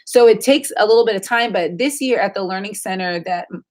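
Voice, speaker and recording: A woman's voice: medium pitch, a little fast, darkish tone. This is a woman in her thirties with a mid-range voice. She hosts a livestream in a loose, conversational style. Her talk is chatty and informal, wandering from one thought into the next as she answers viewers. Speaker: livestream host. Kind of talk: casual chat, answering viewer questions.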